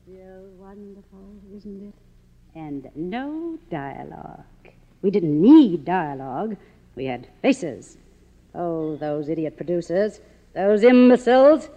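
Grand, imperious fading film star, echoing. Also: female voice, fairly low pitch, very animated, takes it slowly, mellow, exaggerated voice. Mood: wistful grandeur, then indignant, defiant. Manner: grand, theatrical, haughty. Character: imperious, vain, faded silent-film star, middle-aged woman. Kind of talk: 1950s radio drama acting. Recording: muffled old radio recording, reverberant stage.

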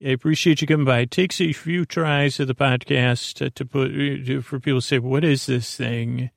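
A man hosting a bedtime podcast in a creaky voice, rambling in a meandering, hesitant way with frequent stammers. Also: medium pitch, fairly even intonation, normal speed, dry recording, studio quality. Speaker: podcast host.

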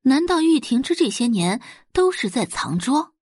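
A woman acts out a middle-aged, well-off wife and mother in an audio drama. She is exasperated and nagging, with pitch that swings widely and a slightly husky edge, recorded very dry. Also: big pitch swings, normal speed, darkish tone, no room sound, exaggerated voice. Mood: exasperated scolding. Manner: scolding, complaining, turning coaxing for money.

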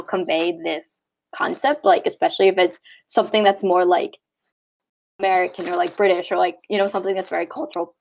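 A woman's voice: lowish voice, creaky, rough recording. A young woman in casual podcast chat, thoughtful and full of "like" fillers.

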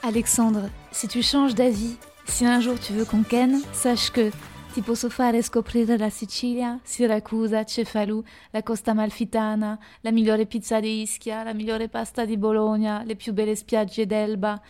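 A woman hosting a podcast, firing off teasing either-or questions and reading a brisk, upbeat sign-off. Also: fairly high pitch, little pitch movement, a little mellow, faint music behind. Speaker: podcast host. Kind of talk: quickfire questions, then scripted outro.

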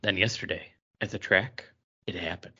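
A man voicing a tough hardboiled crime-comic narrator in a hushed dramatic reading. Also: sits low, steady tone, moderate pace, husky, pitched below the performer's own voice, exaggerated voice. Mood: brooding, tough. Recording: dull, muffled lo-fi audio.

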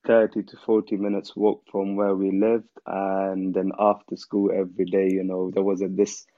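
A man's voice: deep voice, normal speed, no echo. A young man from Afghanistan, chatting as an interview guest and telling his story easily, with lots of "you know". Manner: relaxed storytelling with many "you know" fillers. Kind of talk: casual interview chat. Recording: dull, dry lo-fi sound.

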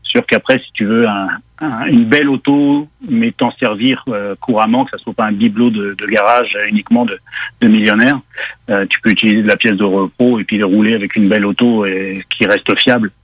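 Husky-voiced Parisian man, car expert. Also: on the low side, fairly even intonation, brisk, dry recording, highs cut off. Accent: Parisian French. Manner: explanatory, many hesitations. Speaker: car magazine editor. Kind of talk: radio interview guest.

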